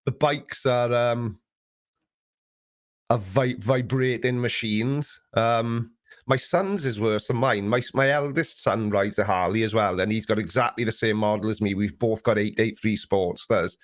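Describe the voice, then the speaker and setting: A man's voice: lowish voice, unhurried. A middle-aged man hosting a live Q&A stream, chatting casually in a rambling, sarcastic, self-mocking way.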